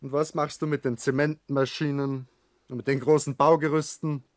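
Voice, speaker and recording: A rebellious young Bavarian man played by a male actor in a sixties radio drama, pitched a little high. He is the builder's ambitious son, arguing bluntly and full of reproach. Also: little pitch movement, normal speed, lightly acted. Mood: scornful. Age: young adult. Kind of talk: 1960s German radio drama acting.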